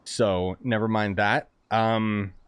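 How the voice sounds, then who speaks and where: A man's voice: lowish voice, steady tone, slow, clean tone, no room sound. A man giving casual, rambling gameplay commentary in an informal way. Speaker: gaming YouTuber. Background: game music.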